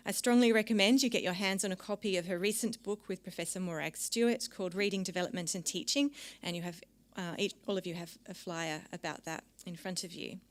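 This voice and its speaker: A woman's voice: lowish voice, steady tone, quick pace, hardly any pauses. A woman hosting an event in a lecture room, giving a polished, formal introduction of a guest speaker. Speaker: event host.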